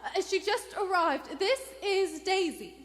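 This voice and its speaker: An actress plays a flustered mother and landlady in an old radio drama on a reverberant stage. Her voice is extremely high, and she hesitates and placates with anxious politeness. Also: a little slow. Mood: nervously placating. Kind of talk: live vintage radio play acting. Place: theater stage before a live audience. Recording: hall reverb of a live theater.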